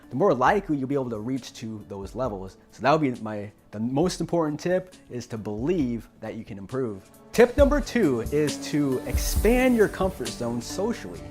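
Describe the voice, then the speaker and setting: Young male host, earnest and encouraging, mid-pitched voice, faint music bed. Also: darkish tone. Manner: earnest, encouraging, with occasional repeated words and restarts. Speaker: host of a self-help channel on stuttering. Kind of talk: motivational talk to camera.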